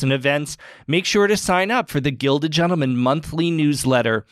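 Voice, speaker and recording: A man's voice: medium pitch, fairly bright tone. Courteous man hosting a podcast, mid-range voice. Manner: courteous, measured, formal. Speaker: podcast host, interviewer. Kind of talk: podcast interview.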